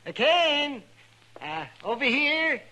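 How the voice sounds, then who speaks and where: A man's voice: very high-pitched, big pitch swings, slow, pushed and bright, exaggerated voice. An older American man acting a genial, chuckling town doctor in a 1950s radio western, with folksy, hesitant rambling. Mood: jovial, sociable. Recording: noisy vintage radio drama recording.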